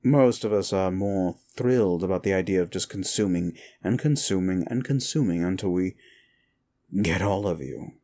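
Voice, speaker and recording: A man playing a demon who grudgingly comforts a human, in an intimate ASMR roleplay with a deliberate, dryly menacing but soothing delivery. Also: low voice, mellow. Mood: dry, teasing menace. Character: male demon, sinister soul-eater grudgingly soothing a human.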